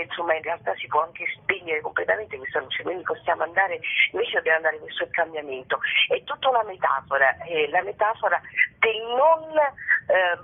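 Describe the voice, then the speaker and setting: A woman speaking haltingly as a guest in a spontaneous radio interview, searching for her words with drawn-out pauses. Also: fairly low pitch, slightly rough. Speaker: playwright and actress, interview guest. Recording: narrow, tinny telephone line.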